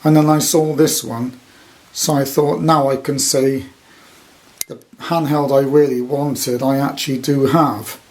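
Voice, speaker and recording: A man's voice: mid-range voice, flat delivery, measured pace, a little mellow. A British man in his sixties giving a casual, rambling, unscripted show-and-tell as a hobby collector. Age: 60s. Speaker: radio collector presenting his item.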